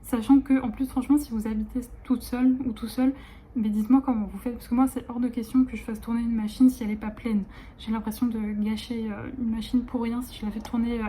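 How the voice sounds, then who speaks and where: Woman, late 20s, casual vlogger; rambling, filler-heavy talk, warm dark tone, phone recording. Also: on the high side, slight background noise. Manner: chatty, rambling, many fillers and restarts. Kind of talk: casual vlog chat.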